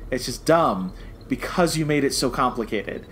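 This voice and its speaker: A man's voice: mid-range voice, lively intonation, moderate pace, a bit bright. Chatty man podcaster, noisy background. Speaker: podcast co-host. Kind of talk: casual review chat. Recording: clear background noise.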